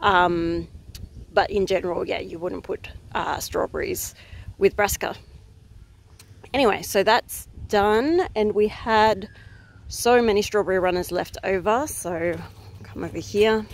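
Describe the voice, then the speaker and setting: Relaxed woman filming her garden. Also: medium pitch, a little slow, creaky. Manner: relaxed, thinking aloud with many ums. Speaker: gardening vlogger. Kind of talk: casual garden walkthrough. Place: outdoor rural garden. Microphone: phone held at arm's length.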